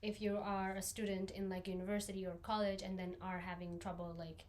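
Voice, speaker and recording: A woman's voice: medium pitch, flat delivery, brisk, a little mellow, slight background noise. Young woman, chatty podcast co-host. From Nepal. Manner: chatty, leans on "like" and "you know" fillers. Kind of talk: casual podcast chat.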